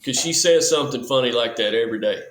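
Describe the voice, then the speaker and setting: A man's voice: medium pitch, quick pace, fairly bright tone. A man in his forties, a podcast guest, chatting casually in an easygoing, folksy way with frequent restarts. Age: 40s. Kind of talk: casual chat. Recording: echoey room.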